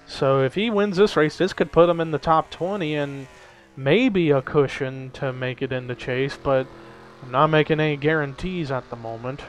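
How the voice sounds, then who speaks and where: A man's voice: on the high side, normal speed, poor audio. Upbeat young male race caller. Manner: chatty, lifts energy at race calls. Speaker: race commentator. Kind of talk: casual play-by-play of a simulated race. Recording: faint background noise.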